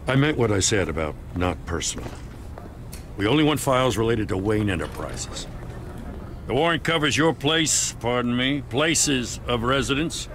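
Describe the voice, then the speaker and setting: An American actor playing a middle-aged, dutiful police officer in a video game, measured and matter-of-fact. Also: male voice, mid-range voice, animated, fairly bright tone, slight background noise. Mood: firm, apologetic. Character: plain-spoken, dutiful police officer serving a warrant. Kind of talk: dramatic game dialogue.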